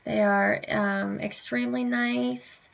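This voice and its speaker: Slow, gravelly-voiced young woman vlogging. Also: a little high, fairly even intonation. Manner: hesitant, many fillers. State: slightly hoarse, tired. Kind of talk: casual chat to camera. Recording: dull lo-fi webcam audio.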